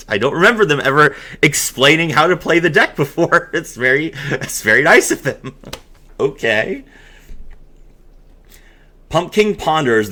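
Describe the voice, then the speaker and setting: A man hosting a dramatic reading mixed with excited reaction commentary, expressive and given to amazed exclamations. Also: medium pitch, husky. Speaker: host.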